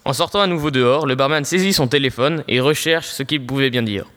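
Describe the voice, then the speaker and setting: A man's voice: mid-range voice, fairly quick, a bit bright, natural voice. A man narrating an audio drama in a literary, dramatic storytelling style.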